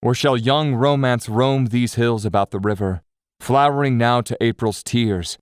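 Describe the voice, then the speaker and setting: A man's voice: mid-range voice, a little slow, clean tone, no room sound, clean studio sound. A man of mid-range voice reading verse in a rhetorical, questioning style. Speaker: poetry reader in a group recording.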